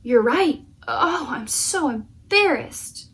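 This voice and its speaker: A woman voicing a hungry, blustery but apologetic male dragon from a picture book, with a drawn-out delivery. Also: high voice, lively intonation, background noise. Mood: embarrassed. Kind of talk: children's picture-book read-aloud.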